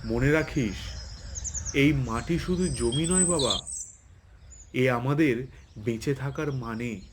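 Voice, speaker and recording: Man playing a slow, solemn old farmer father. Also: mid-range voice, background noise, close to an everyday voice. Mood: solemn, tender. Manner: slow, solemn, tender advice-giving. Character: gentle old village farmer father, earnest and wise. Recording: loud music bed behind.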